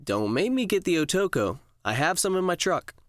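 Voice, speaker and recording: A man's voice: high voice, very animated, normal speed, dry recording, studio quality. A man playing a persistent, needling brother in an audiobook drama, casual and coaxing in manner. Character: Jags, needling, persistent brother who pries for confidences.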